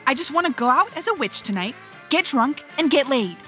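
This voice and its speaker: A woman's voice: sits high, animated, fairly quick, a bit bright, faint music behind. An actress playing a wicked, show-off, party-loving witch in an audio drama, brash and teasing.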